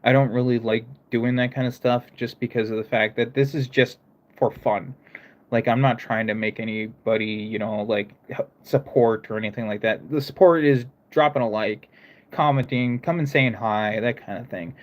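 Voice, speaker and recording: A young man whose American accent blends northern and southern sounds. He chats loosely with his viewers on a live stream, and he keeps halting and starting his sentences again. His voice sits at an ordinary pitch for a man. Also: fairly even intonation. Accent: mixed American, northern and southern. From United States. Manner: rambling, stops and restarts, talks to chat. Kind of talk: casual live-stream chat.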